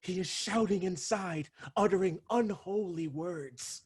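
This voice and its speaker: An actor playing a grim palace messenger, giving a grave and theatrical recitation in a staged reading held over a video call. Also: male voice, high voice, measured pace, a little mellow, husky. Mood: mournful. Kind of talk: staged reading of a Greek tragedy.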